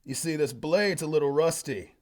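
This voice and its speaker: Lone fantasy swordsman, man acting: confident, taunting, slightly raised pitch, storybook hero. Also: normal speed, natural voice.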